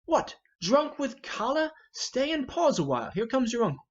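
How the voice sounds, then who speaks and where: A man plays a courteous elder lord in a Shakespeare play. His speech is formal and declamatory, with a husky tone and mobile pitch. The recording sounds dull. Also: very animated, normal speed, full character voice. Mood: alarmed, restraining. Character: courteous elder nobleman defending his son. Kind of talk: Shakespearean verse drama reading.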